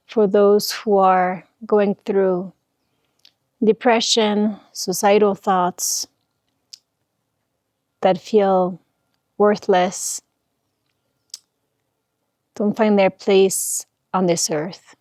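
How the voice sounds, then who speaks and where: Very slow-speaking woman guiding meditation. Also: medium pitch, fairly even intonation, darkish tone, dry recording, clean studio sound. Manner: soothing, deliberate, pausing between short phrases. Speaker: meditation guide. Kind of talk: guided meditation, spiritual talk.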